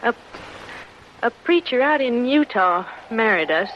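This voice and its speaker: A woman's voice: a little high, animated, measured pace. A frontier wife with a hard past. She speaks plainly and hesitantly, with earnest worry and folksy turns of phrase. The old radio recording is dull and noisy. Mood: earnest, pleading. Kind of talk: old-time radio western acting. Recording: muffled, noisy vintage radio broadcast.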